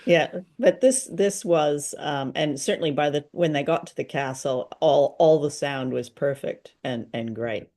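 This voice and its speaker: Low-pitched older woman, casual co-host. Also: measured pace, hardly any pauses, mellow. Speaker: show co-host. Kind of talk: casual review chat. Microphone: video call, wearing headphones.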